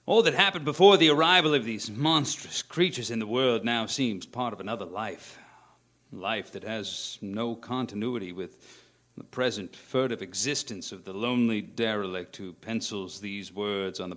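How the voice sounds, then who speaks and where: A man's voice: lowish voice, moderate pace, fairly bright tone, natural voice. A man playing a weary, haunted scientist, a lone survivor reciting his journal in brooding, literary phrases for a radio play. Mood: bleak, haunted. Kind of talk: radio play dramatic monologue.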